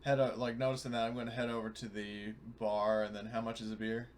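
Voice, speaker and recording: A man's voice: mid-range voice, level tone. Guy playing a tabletop rpg, stammers a bit, mid voice, some background noise. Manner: stammering, halting. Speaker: tabletop roleplaying player. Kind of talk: casual in-character roleplay chat.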